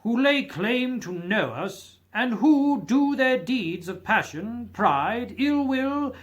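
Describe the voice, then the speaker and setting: Guy doing a giant hearty ghost for a storybook, declaims everything, super emphatic, big swoopy pitch. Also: expressive, slow, full character voice. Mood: stern, indignant. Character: gigantic hearty male spirit, generous, booming and indignant.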